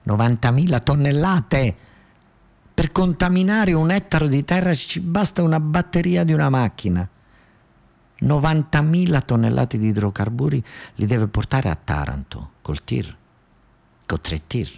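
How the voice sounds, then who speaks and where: A man's voice: fairly high pitch, lively intonation, measured pace, vocal fry, darkish tone. A man with a southern Italian accent performing a colloquial theatrical monologue for radio, conversational, repeating phrases and restarting as he tells the story.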